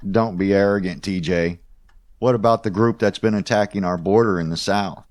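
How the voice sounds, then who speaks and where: A fairly low voice plays an older boss acted by a man. He is measured and commanding, with a stern fatherly air, in a dystopian audio drama. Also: lightly acted. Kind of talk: post-apocalyptic audio drama acting.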